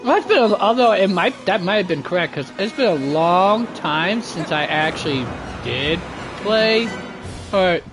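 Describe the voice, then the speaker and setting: A man livestreaming a game with casual, halting, exclamatory commentary, teasing back at what happens. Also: high-pitched, expressive. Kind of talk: casual live gameplay commentary. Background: faint game music.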